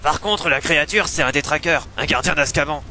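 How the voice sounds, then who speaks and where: Man as bright, wry explaining wizard. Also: fairly high pitch, fairly quick, slight background noise. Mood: wry, explanatory. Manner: matter-of-fact explaining with sarcastic asides. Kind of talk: comic fan parody dub.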